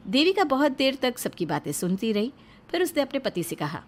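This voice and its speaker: A woman's voice: high voice, sing-song, fairly quick. A woman serving as narrator of a cartoon moral story, reading a dramatic scripted narration.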